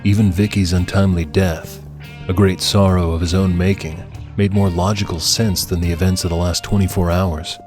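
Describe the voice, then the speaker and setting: Narrator for adult fiction: man with a low register, dramatic scripted read, guitar underscore. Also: flat delivery, normal speed.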